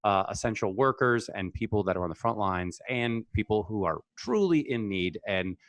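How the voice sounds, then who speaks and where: Man, slightly low voice, halting informal sign-off, dry sound, video call. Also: lively intonation, no echo. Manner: halting, hesitant. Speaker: cast member thanking viewers.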